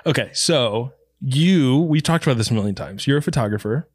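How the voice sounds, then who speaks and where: A man's voice: medium pitch, expressive, brisk, slightly rough, dry recording, studio quality. A man co-hosting a podcast who is congested and nasal from allergies, chatting loosely and laughing often. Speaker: podcast co-host. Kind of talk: casual podcast chat.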